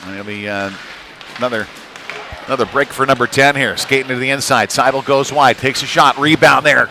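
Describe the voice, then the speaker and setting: A man doing live play-by-play of a youth hockey game, talking in a halting, conversational way with folksy slang. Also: mid-range voice, fairly even intonation. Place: indoor ice rink during a live game. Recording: noisy rink, clear background ambience. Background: rink noise and game ambience.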